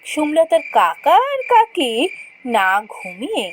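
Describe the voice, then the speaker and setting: High-pitched woman storyteller. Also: big pitch swings, measured pace, a little hiss or hum. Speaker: storyteller narrator. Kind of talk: scripted fairy-tale narration.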